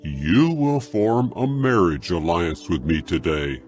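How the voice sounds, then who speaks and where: An actor playing a jealous, scheming older king in a dramatized scripture audio drama, speaking slowly and broodingly. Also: deep voice, expressive, a little mellow, faint music behind. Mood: calculating, falsely gracious.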